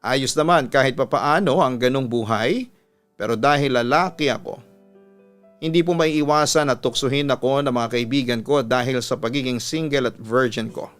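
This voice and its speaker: A man's voice: medium pitch, moderate pace, a bit bright. A man with a Filipino accent, a radio storytelling host, reading a listener's letter as scripted narration. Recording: music bed under the voice. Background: instrumental music.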